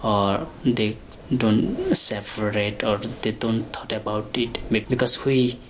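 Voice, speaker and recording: A woman with a strikingly deep voice and a Nepali accent answers questions in unhurried, halting English. She keeps pausing to search for words, leaning on fillers as she goes. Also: animated, a little hiss or hum. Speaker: interviewee. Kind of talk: casual interview answers.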